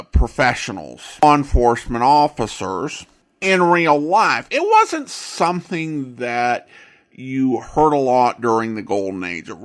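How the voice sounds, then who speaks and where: A man hosting a podcast, giving commentary in a deliberate, emphatic way with pauses between phrases. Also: mid-range voice, a bit bright. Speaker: podcast host.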